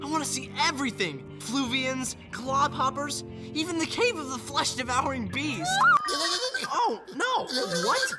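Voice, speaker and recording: An actor voicing an eager, good-natured young space crew member in a cartoon, earnest and expressive. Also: sits high, a little slow, recorded from a distance, over loud music. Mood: excited, then worried. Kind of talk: animated cartoon acting.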